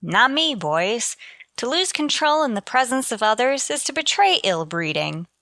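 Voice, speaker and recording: A sardonic female schoolteacher from a vintage radio comedy, played by an actress, speaks in a high, bright voice. Her dry sarcasm rides on lively swings of pitch. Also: moderate pace, dry recording, exaggerated voice. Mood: wry. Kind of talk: 1940s radio sitcom acting.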